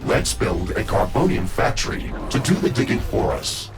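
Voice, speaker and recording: An actor playing a stern, by-the-book AI mech suit in a video game, talking in a formal, measured, procedural manner. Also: male voice, sits low, sing-song, vocal fry, over music, heavily acted. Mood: dutiful briefing. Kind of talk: scripted video game voice acting.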